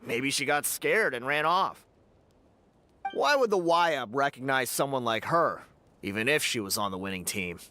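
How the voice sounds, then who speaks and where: Smug, sneering young warrior. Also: male voice, mid-range voice, lively intonation, normal speed, pushed and bright, a little hiss or hum. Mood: scornful. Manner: sneering, insinuating. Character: smug, spiteful young male warrior, scornful rumor-monger. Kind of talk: English video game voice acting.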